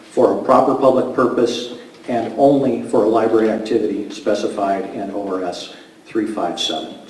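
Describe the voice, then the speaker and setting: A man's voice: mid-range voice, mellow. A man giving assured, reassuring remarks at a public meeting. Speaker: association representative. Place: meeting room. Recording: echoey room from far off.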